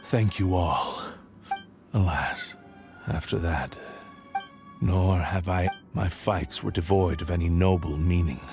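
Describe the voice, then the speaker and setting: A man's voice: deep voice, slow, over music. A man playing a remorseful, proud old sword spirit in a dramatic game, speaking solemnly and formally. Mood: solemn, regretful. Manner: solemn, formal, archaic phrasing. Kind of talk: dramatic English game voice acting.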